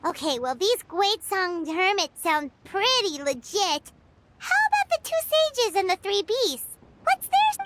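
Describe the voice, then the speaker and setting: A woman voicing a tiny, cheeky, excitable fairy companion in a video game, with a squeaky childlike voice and an expressive, playful delivery. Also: a little slow, very noisy, heavily acted. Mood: skeptical, curious.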